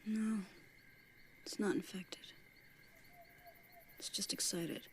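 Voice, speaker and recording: A woman's voice: mid-range voice, slow. An actress playing an intimate, coaxing woman in whispered sci-fi film dialogue. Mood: hushed, coaxing.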